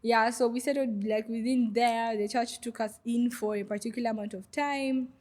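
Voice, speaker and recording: A woman's voice: on the high side, steady tone, normal speed. A young Congolese woman telling her story. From Goma, DR Congo. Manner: warm, hesitant storytelling with many fillers. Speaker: guest, refugee vendor. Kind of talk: podcast interview.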